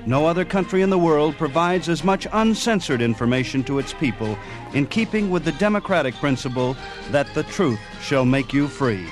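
A man's voice: a little high, lively intonation, moderate pace, music in the background. An American man reading a scripted radio sign-off as the host of the show.

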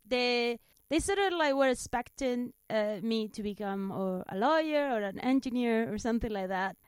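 High, clear-voiced Spanish woman. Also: no room sound, clean studio sound. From Spain. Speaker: stand-up comedian, interview guest. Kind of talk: casual chat, telling anecdotes.

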